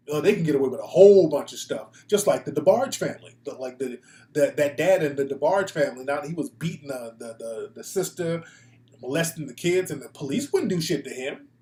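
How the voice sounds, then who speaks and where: Echoey, higher-voiced American man, blunt talk host. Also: mellow. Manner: blunt, profane, slangy. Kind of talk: casual opinionated live talk show monologue. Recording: echoey room.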